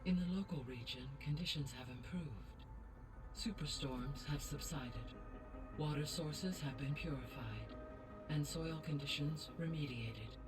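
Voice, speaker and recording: A woman voicing a calm, courteous artificial intelligence guide in a video game, speaking formally and precisely. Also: deep voice, a little slow, far from the mic. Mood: measured. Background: game music.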